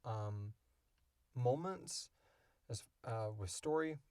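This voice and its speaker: A man's voice: mid-range voice, animated, slow, dark tone, clean tone, no echo, clean studio sound. A man in his thirties hosting a casual podcast Q&A, rambling along in an enthusiastic, jokey way. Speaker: podcast creator and host. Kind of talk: casual Q&A chat.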